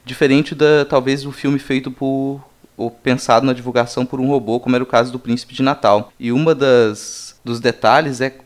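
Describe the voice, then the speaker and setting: Brazilian male panelist, mid-pitched, articulate and reflective, talking at length in casual discussion. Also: fairly even intonation, normal speed. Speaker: podcast panelist.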